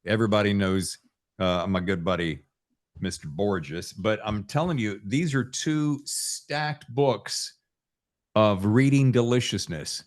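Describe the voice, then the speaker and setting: A man's voice: lowish voice, slow. A man hosting a podcast in a casual, chatty way, with a jokey, informal manner and wandering asides. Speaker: podcast host.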